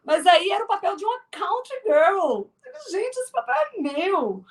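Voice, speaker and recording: A woman's voice: extremely high pitch, big pitch swings, unhurried. A woman from Northeast Brazil with a strong Northeastern accent, a filmmaker and actress chatting as an interview guest, with many restarts. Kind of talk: casual interview talk.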